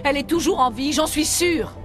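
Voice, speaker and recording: A woman's voice: sits high, a little fast, over music, natural voice. A woman playing a worried, pleading middle-aged mother in a video game, speaking earnestly. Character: worried, pleading mother of a missing grown daughter. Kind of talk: French video game dub.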